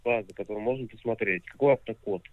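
A man speaks quickly over a thin, dry phone line. He is a car dealer, questioning the caller insistently in a conversational way. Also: fairly low pitch, no echo. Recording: tinny narrowband phone line.

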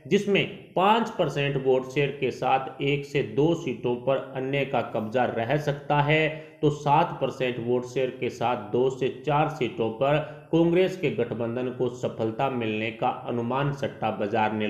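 A man narrating a scripted report in a news style. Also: mid-range voice. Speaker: narrator of an election survey report. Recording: dull, echoey voice-over recording.

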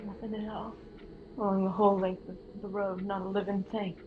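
A woman's voice: mid-range voice, little pitch movement, brisk, narrow, tinny audio, background noise, lightly acted. A woman playing an obsessive, grieving elderly mother in a radio horror drama, imploring and intense. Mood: bereft.

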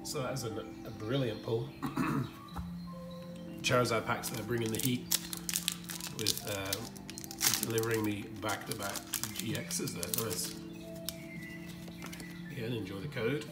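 Hoarse man unboxing cards over loud music. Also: lowish voice, fairly even intonation, roomy sound, recorded from a distance. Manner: frequent throat clearing, halting fillers. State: croaky, hoarse from screaming at a game. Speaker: card-opening channel host. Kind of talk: casual unboxing commentary. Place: indoor room with collectibles on shelves.

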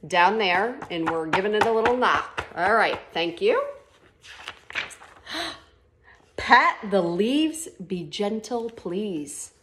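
A woman in her thirties reading a picture book aloud to children in an animated, expressive way, like a teacher at story time. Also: medium pitch, slight background noise. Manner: expressive, with gasps and drawn-out words for children. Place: living room at home, on a couch. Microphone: phone camera about a metre away.

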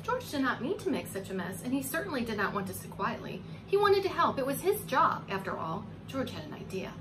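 A woman's voice: on the high side, sing-song, a little fast. A woman in her forties reading a children's story aloud as a preschool teacher, very expressively, drawing out words and putting coaxing questions to her little listeners. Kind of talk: animated children's story read-aloud with asides to young listeners. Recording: clearly noisy home recording.

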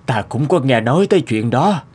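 A man voicing a gentle, worried, poor old father, hesitant and caring, in an animated folk tale. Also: medium pitch, brisk, breathy edge. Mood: tender, worried.

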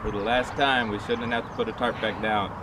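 A middle-aged man with an ordinary-pitched voice chats in a matter-of-fact, joking way. He is outdoors on a rooftop, and a bit of background noise comes through. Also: flat delivery, brisk. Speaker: co-host doing the repairs. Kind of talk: casual on-camera chat.

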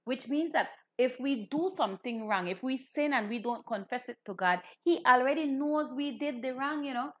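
A woman's voice: high voice, moderate pace, hardly any pauses, fairly bright tone. Woman teaching children. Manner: clear teaching, addressing children. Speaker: Anglican cleric hosting children's Bible broadcast. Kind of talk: children's sermon, direct address. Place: small indoor room.

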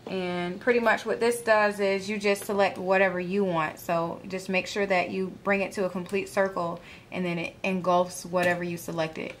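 A woman presenting a software tutorial, calmly walking viewers through each click step by step. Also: mid-range voice, slight background noise. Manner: plain instructional, walks through clicks calmly. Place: home desk, filming a computer screen.

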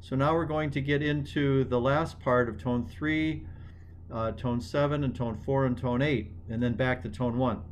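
A man's voice: mid-range voice, steady tone, normal speed, slight background noise. A man hosting an online music class session, talking with hesitant ums and restarts.

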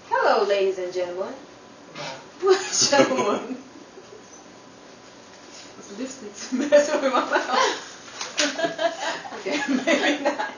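A giggly woman with a fairly high, lively voice keeps breaking into laughs while reading. Her voice is distant and roomy, with clear hiss behind it. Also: takes it slowly, pauses often. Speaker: cast member. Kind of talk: casual banter at a table read. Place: small dining room set, indoors. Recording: echoey room, from far off, hiss.

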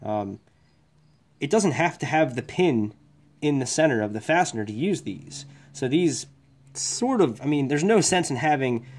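A man in his workshop talks through his work tools in a loose, rambling way. He is frank and swears casually, like an electrician chatting to other tradesmen. His voice sits at an ordinary middle pitch. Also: a little mellow. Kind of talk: casual tool review. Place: workshop bench, indoors.

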